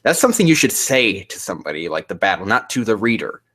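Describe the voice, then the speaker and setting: A man's voice: lowish voice, very animated, fast talker, a bit bright, dry recording, studio quality. A man casually reading aloud and commenting on a live stream, rambling, swearing and sounding exasperated as he mocks the writing.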